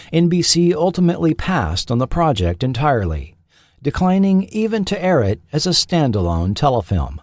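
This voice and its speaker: A man's voice: medium pitch, a little mellow, no echo, clean studio sound. A man narrating a scripted documentary as its host.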